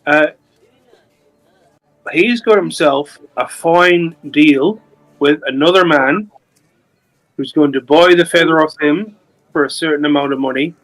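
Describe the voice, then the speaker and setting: Smooth-talking man at a game table. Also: on the high side, unhurried, long pauses, fairly bright tone, poor audio. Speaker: player. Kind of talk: in-character roleplay talk.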